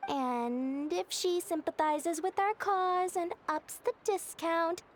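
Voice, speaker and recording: A woman's voice: very high voice, slow, slight background noise. An actress playing a scheming, greedy merchant woman with a wheedling sales patter, for a video game. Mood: salesy. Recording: game music behind the voice.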